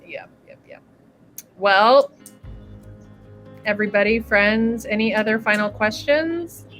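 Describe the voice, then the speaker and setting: A woman's voice: medium pitch, slow pace, fairly bright tone, soft background music. A woman hosting a webinar in casual chat over a video call, bubbly and laughing often. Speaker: webinar host.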